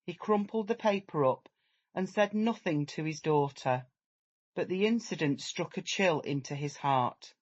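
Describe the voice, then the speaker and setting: A man narrating a literary story as a measured, dramatic audiobook reading. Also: on the high side, dull audio.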